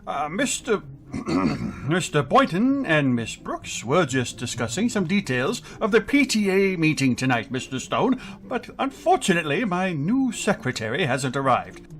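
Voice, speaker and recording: A man playing a grouchy, flustered middle-aged high school principal in a radio comedy, blustering and exasperated. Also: big pitch swings, a little slow, soft background music. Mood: flustered, urgent. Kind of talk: 1950s radio comedy acting.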